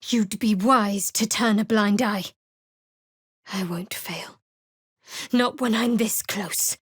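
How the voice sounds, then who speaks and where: A woman playing a guarded, devout young female cleric in a fantasy role-playing game, with a measured, dry and wry delivery. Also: medium pitch, breathy edge, no echo. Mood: terse, wary, then earnest, intense.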